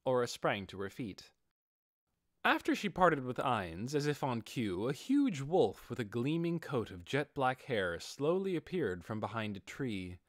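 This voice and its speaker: A man's voice: mid-range voice, sing-song, measured pace, dry recording, clean studio sound. A man reading scripted fantasy fiction as an audiobook narrator.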